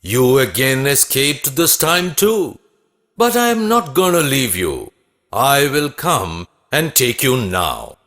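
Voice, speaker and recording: A man voicing a resolute, wise king in a children's cartoon, speaking in a deliberate, earnest, declamatory way. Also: medium pitch, very animated, heavily acted. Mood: determined. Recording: loud music under the voice.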